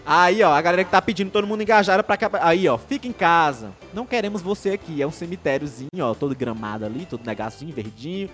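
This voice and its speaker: A man's voice: on the high side, lively intonation, fast talker, few pauses, fairly bright tone, faint music behind. A young man from Maranhão with a Maranhão accent hosting a live online show, chatting casually, reading viewer comments and often restarting his sentences. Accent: Maranhão Brazilian Portuguese. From Maranhão, Brazil. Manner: rambling, many restarts, chatty and upbeat. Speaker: live stream host.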